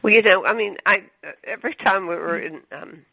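Gravelly-voiced effusive male radio host. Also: high-pitched, a little fast, no echo. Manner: rambling, effusive, many fillers and restarts. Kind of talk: chatty radio interview. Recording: dull lo-fi radio sound, dry.

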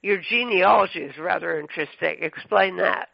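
A man's voice: high-pitched, normal speed, creaky, dry recording. A man hosting a radio interview, talking in a rambling, effusive way with many fillers and restarts. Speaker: radio show host. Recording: dull lo-fi radio sound, dry.

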